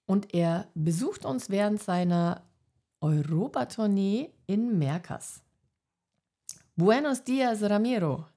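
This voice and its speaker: A woman's voice: medium pitch, animated, slow pace, warm tone. A woman hosting a podcast, asking questions in a casual, chatty interview style.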